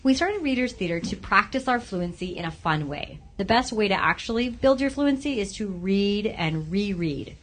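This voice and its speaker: A woman in her thirties, a grade five teacher, answering interview questions as a guest and explaining things in clear, simple terms for young listeners. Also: medium pitch, expressive, normal speed, hardly any pauses.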